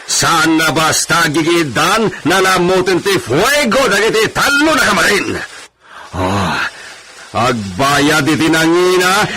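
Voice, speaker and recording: An actor with an Ilocano accent playing a hot-tempered, imperious landowner and husband in a radio comedy-drama, exclaiming indignantly. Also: male voice, high-pitched, very noisy.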